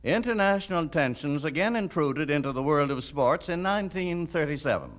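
Male documentary host, slightly high voice, very varied intonation, scripted narration. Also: animated, a little fast.

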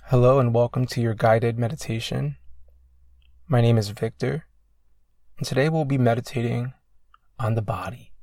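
A man leading a guided meditation as a coach, speaking slowly and soothingly with long pauses. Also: medium pitch, no room sound. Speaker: meditation coach guiding a session. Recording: loud ambient meditation music behind. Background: ambient new-age music with singing bowls.

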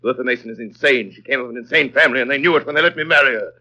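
An actor playing an imperious, tormented gentleman in love, with intense theatrical delivery in a 1930s radio drama. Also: medium pitch, very fast. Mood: bitter, defiant. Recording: muffled vintage 1930s radio recording.

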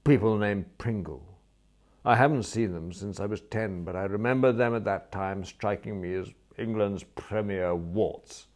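A man's voice: lowish voice, a little slow, a little mellow, lightly acted. A man voicing a gloomy young literary Englishman, upper-class in accent, with a melodramatic, mournful manner. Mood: gloomy, aggrieved.

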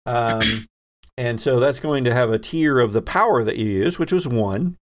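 A man's voice: mid-range voice, moderate pace. A man acting as game master, narrating scenes and explaining rules in a conversational way. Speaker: game master and host of a tabletop role-playing show.

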